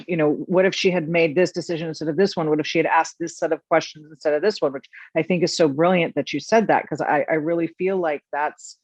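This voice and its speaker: A woman's voice: low-pitched, no echo. A middle-aged woman hosting a podcast, telling personal stories earnestly and reflectively, often restarting her sentences. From United States. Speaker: podcast host. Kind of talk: casual interview chat. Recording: dry, dull lo-fi sound.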